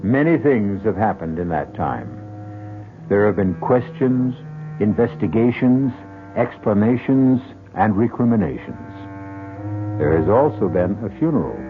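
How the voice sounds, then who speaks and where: An actor as the grave, philosophical male narrator of an old-time radio mystery, measured and portentous, posing rhetorical questions. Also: lowish voice, expressive. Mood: grave, ominous. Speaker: host and narrator. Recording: muffled old radio broadcast with music.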